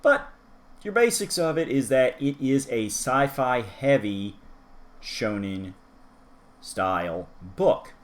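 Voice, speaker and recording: A man's voice: medium pitch, lively intonation, slow pace. Chatty man rambling through a review. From United States. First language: English. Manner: chatty and rambling, with asides to the viewer. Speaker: manga review host. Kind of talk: casual solo review talk.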